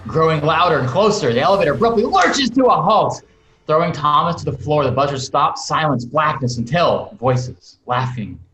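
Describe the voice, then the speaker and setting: A man's voice: on the high side, big pitch swings, normal speed, fairly bright tone. A man reading scripted stage directions aloud with dramatic, emphatic storytelling. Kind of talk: scripted narration read aloud. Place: indoors, on a video call. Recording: roomy video call sound.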